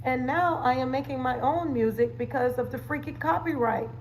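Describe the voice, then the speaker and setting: A woman's voice: sits high, moderate pace, a little hiss or hum. A woman hosting a casual livestream, chatting playfully with viewers in slangy, conversational phrases. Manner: playful, chatty, with slang catchphrases and frequent laughter. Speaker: livestream host and wellness coach.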